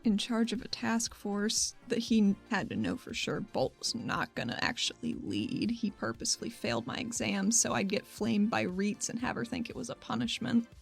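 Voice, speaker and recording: A woman's voice: mid-range voice, animated, normal speed, vocal fry, few pauses, husky, faint music behind. A woman voicing a wry, nervy young female regulator in a tabletop game, in a casual, confiding way.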